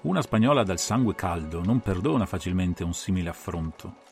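A man's voice: low-pitched, animated, fairly quick, gravelly, over loud music, voice pushed down. A man playing a composed, analytical detective who lays out a case with assured, methodical, theatrical phrasing in a dramatised audiobook. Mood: grave, explanatory.

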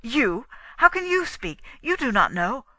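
A sorrowful, defensive man who has lost his wife, acted out by a woman, protesting with wounded melodrama. The storybook delivery is bright and tense, recorded dry and close. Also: lowish voice, slow, no echo, full character voice. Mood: defiant, agitated. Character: indignant, distressed widower, sorrowful and defensive man. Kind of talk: dramatic audiobook character dialogue.

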